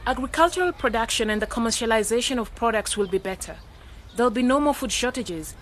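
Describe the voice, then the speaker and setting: Confident young woman, highish voice. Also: lively intonation, fast, fairly bright tone, a little hiss or hum. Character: confident, supportive young woman, practical cooperative co-founder. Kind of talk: radio drama acting.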